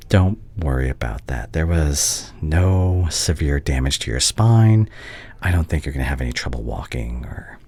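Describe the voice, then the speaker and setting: A man plays a conflicted male doctor, a wounded but caring ex in an intimate audio roleplay. His voice is very low and husky, often breathy. He speaks slowly and haltingly, with earnest feeling. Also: mellow, heavily acted. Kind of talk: roleplay audio acting, intimate ASMR drama.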